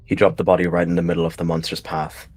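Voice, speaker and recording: Casting: male roleplay narrator, very low register with a husky grain, dramatic storytelling. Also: little pitch movement, fast, a little mellow.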